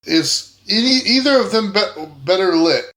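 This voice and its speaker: A man's voice: high-pitched, animated, moderate pace, bright, forceful tone. A man chatting casually as a player in a tabletop role-playing game.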